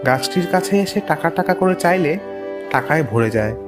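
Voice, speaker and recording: A man's voice: a little high, lively intonation, normal speed. A man reading scripted narration for a children's moral story cartoon. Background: music.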